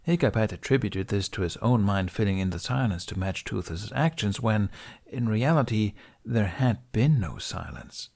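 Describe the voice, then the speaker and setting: A man's voice: lowish voice, lively intonation, moderate pace, vocal fry, darkish tone. A man narrating an audiobook, reading scripted fiction as the storyteller.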